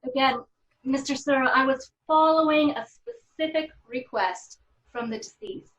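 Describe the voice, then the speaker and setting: A woman reading the part of a composed lawyer in her fifties, formal and measured, for a TV drama table read. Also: high-pitched, fairly bright tone, roomy sound, dull audio. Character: Madeline, composed 55-year-old female small-town lawyer.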